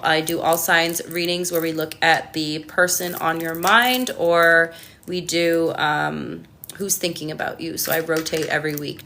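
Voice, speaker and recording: Young Canadian woman, tarot livestream host, low-ish pitch, bright forward tone, casual and rambling. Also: a little hiss or hum. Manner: chatty, reads viewer comments aloud, frequent ums. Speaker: tarot reader.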